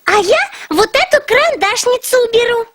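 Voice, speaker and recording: A woman's voice: extremely high pitch, lively intonation, fairly quick, fairly bright tone. A woman voicing a tidy, eager little girl character in a children's show, bubbly and sing-song. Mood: cheerful, busy. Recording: music under the voice.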